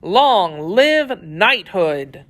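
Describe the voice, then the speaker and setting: A woman's voice: medium pitch, sing-song, extremely slow. A woman voicing a deluded, chivalrous male knight in a storybook, declaiming with pompous indignation. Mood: proud, triumphant.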